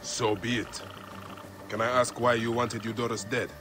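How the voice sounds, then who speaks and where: An actor playing a resolute, vengeful warrior in a video game drama, speaking bluntly and with restraint. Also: male voice, medium pitch, flat delivery, normal speed, noisy background, lightly acted. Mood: restrained, stoic. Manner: blunt, restrained, few words. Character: terse, resolute male warrior avenging his murdered son.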